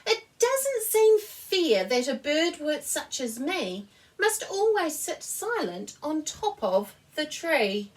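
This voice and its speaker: A woman's voice: sits high, very animated, slow, voice pushed up, heavily acted, rough recording. A woman voicing a sad little male tui bird in a children's story, plaintive and wistful. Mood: unhappy, envious.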